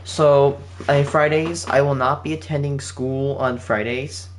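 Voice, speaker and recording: An eighteen-year-old man talks casually to his viewers from home, in a voice of ordinary middle pitch. He rambles and restarts his sentences often, with plenty of fillers. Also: fairly even intonation, unhurried, a little hiss or hum. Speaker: channel host. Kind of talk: casual spoken update to viewers. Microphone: home setup with game console running nearby.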